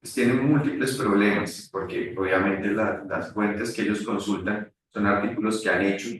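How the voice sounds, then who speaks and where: A man teaching at a university, talking in a halting way with many restarts during an online class discussion. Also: on the low side, steady tone, a bit bright. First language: Spanish. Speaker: university lecturer. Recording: echoey room far from mic.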